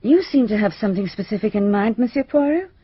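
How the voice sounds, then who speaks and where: An actress playing a genteel, middle-aged British village hostess in a radio drama, polite and guarded. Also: female voice, mid-range voice, expressive, fast, slightly rough. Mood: guarded, puzzled. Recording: slightly muffled, roomy radio drama recording.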